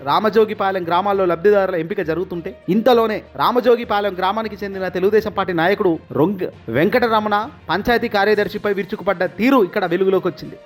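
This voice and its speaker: A man delivering news commentary in an emphatic, opinionated manner. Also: high-pitched. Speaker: news presenter. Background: background music.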